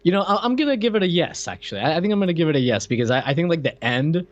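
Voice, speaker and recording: Lively-pitched man chatting casually. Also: racing, lo-fi sound. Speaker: podcast co-host. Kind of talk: casual chat about a film.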